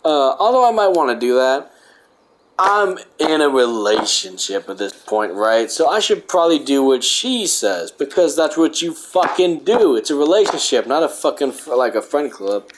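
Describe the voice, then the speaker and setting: A man's voice: a little high, big pitch swings, moderate pace, background noise. A young man reading a book aloud and breaking off into rambling, profane rants. He says he is losing his voice. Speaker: host.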